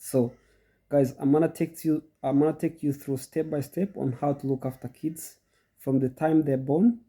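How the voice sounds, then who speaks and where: Man, middle-aged, goat farmer with a cold, husky, chatty how-to talk, repeats himself. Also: mid-range voice, mellow. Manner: chatty, repeats himself, addresses viewers directly. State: congested from a cold. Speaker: goat farmer and channel host. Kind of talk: casual instructional talk to viewers.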